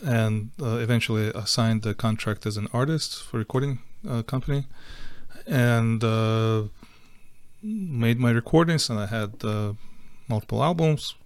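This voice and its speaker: A middle-aged man from Ukraine, a guest telling his story in a casual interview, halting, with many fillers and restarts. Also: mid-range voice, clean tone, dry recording.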